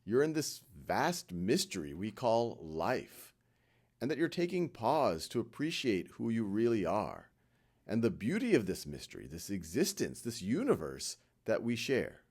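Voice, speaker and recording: Male meditation guide, lower register with very varied intonation, gentle and reassuring, pausing often between phrases. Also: wildly swooping pitch, slightly rough. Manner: gentle, reassuring, with frequent pauses. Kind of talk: guided meditation, unhurried spoken instruction.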